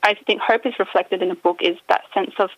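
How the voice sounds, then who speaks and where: A woman's voice: medium pitch, fairly even intonation, fairly quick, dry recording, muffled recording. A woman author, answering interview questions thoughtfully, often hedging and trailing off. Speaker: author guest. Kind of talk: reflective radio interview answers.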